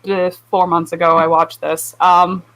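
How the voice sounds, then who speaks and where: A woman's voice: on the low side, flat delivery, measured pace, fairly bright tone, low-quality recording. A woman giving her opinions in a casual club discussion, in a wry, hesitant manner. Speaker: anime club podcast panelist.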